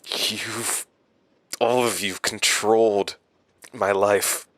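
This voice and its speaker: An actor playing a haunted, defiant hero in an audio drama, speaking in a halting, strained way. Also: male voice, on the low side, steady tone, fairly bright tone, no room sound, low-quality recording. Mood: grim resolve. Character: haunted, defiant male hero facing his enemy.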